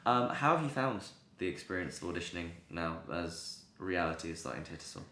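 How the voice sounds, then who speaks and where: A man interviews a guest as podcast host in a very low-pitched voice. His questions are casual, and the recording is echoey and roomy. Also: animated, a little fast, sharp tone. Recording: roomy, echoing sound.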